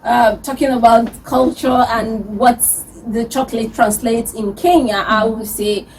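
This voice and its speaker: Woman radio host, relaxed talk, slightly high pitch, faint background hiss. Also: measured pace. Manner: relaxed, light laughter. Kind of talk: radio talk show hosting. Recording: distant mic with faint noise.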